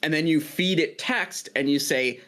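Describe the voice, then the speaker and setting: A man guesting on a gaming podcast, talking in a steady, informative, fairly monotone way with lots of "uh" and "like". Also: on the high side, moderate pace. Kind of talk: casual podcast chat.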